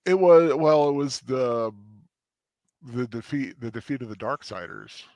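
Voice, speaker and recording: A man's voice: medium pitch, expressive, a little slow, darkish tone, no echo. A man co-hosting a casual review chat, teasing his friend in a playful way. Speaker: co-host.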